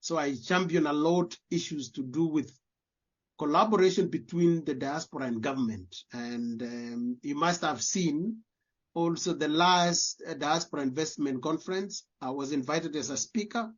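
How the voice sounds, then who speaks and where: A man's voice: on the high side, normal speed. A Kenyan man with a Kenyan accent, answering interview questions as a diaspora leader, earnestly repeating words as he searches for phrasing. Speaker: guest, diaspora community leader. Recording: dull lo-fi audio.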